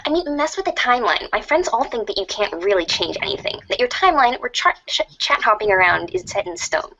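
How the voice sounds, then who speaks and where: A woman's voice: mid-range voice, big pitch swings, fairly quick, vocal fry, bright, forceful tone, full character voice. A woman voicing a bossy, needling blind troll girl in an amateur reader's theater. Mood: scheming. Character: teasing, bossy blind troll girl, a leet-speaking online schemer. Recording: muffled lo-fi, noisy home recording.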